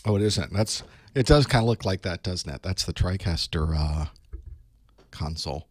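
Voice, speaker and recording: A man with a cold speaks in a hoarse, rather low voice. He chats wryly and half to himself as he gets a live stream ready. The sound is dry and close, with no room echo. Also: moderate pace. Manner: chatty, wry, talks to himself while setting up. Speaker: online course instructor. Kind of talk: casual pre-show chat to a live stream. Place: small studio desk.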